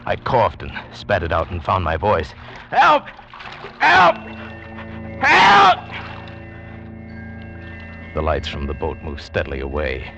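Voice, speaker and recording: An actor playing an earnest, intense shipwrecked big-game hunter, narrating dramatically in 1940s radio style. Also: male voice, low-pitched, huge pitch swings, slow, creaky, long pauses, bright, forceful tone, heavily acted. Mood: tense, urgent, then desperate shouting, then strained, breathless. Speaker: first-person narrator and lead actor. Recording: muffled vintage 1940s radio, music behind.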